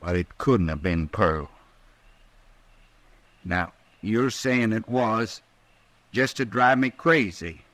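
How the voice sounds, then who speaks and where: A desperate American outlaw in an old radio western. He talks very slowly in a rustic, halting drawl, repeating himself, with a lowish voice recorded close and dry. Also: fairly bright tone, dry recording. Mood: shaken, disbelieving. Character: desperate, distraught Western outlaw gunman in love.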